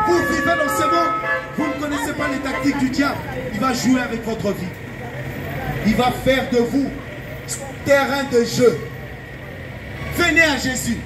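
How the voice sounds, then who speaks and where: A man's voice: very high voice, rough recording. A man from Belgium preaching an evangelistic sermon in an urgent, exhorting and emphatic way, repeating his phrases. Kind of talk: fervent open-air evangelistic sermon.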